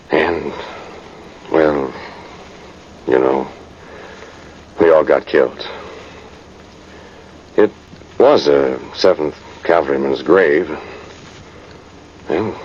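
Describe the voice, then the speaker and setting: An American man playing a folksy, plain-spoken old cavalry sergeant telling his tale in a vintage radio drama. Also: deep voice. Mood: somber. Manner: rustic, rambling, plain-spoken old soldier. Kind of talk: 1950s radio drama narration. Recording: muffled noisy vintage radio recording.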